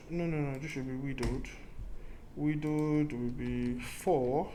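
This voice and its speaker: A man's voice: on the high side, a little mellow, a little hiss or hum. A man teaching a programming lesson, thinking aloud as he goes, often restarting and repeating his key points. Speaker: programming tutor. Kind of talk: casual screen-recorded coding lesson.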